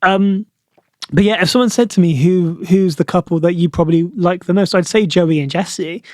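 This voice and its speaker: High-voiced chatty British young man. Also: moderate pace, darkish tone, no echo. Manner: chatty, frequent "like" fillers, opinionated. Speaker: podcast host. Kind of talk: solo podcast chat to camera.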